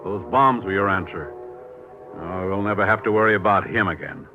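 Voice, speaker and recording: An actor playing a steady, level-headed male intelligence chief who speaks gravely, 1940s radio-drama style. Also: low voice, moderate pace, muffled recording, close to an everyday voice. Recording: old radio recording under loud organ music.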